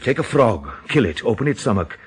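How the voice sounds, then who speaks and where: A man's voice: mid-range voice, very animated, husky. Grave, intense man, dramatically swooping delivery. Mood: insistent. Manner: emphatic, dramatic, deliberate phrasing with rhetorical questions. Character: grave, intense countryside man expounding a dreadful theory. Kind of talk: radio drama acting.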